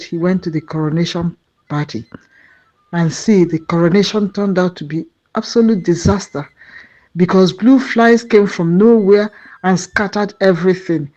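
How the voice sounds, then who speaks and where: A woman telling a folktale in a lively, animated way, acting out sounds. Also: medium pitch, highs cut off. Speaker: storyteller. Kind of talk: oral folktale narration.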